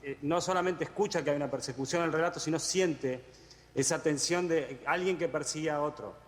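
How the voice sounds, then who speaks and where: A man's voice: on the high side, a little fast. A man giving a spoken analysis at a conference, explaining and reiterating his points. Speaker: conference participant. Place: large indoor congress hall. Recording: reverberant hall.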